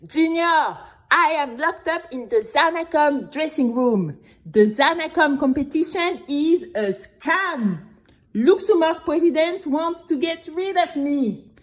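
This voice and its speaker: A woman playing a brave young girl who tells her own story in an expressive, exclamatory way for a children's audio drama. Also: high-pitched, pitched above the performer's own voice. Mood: urgent pleading. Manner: expressive, exclamatory, with drawn-out emphatic words.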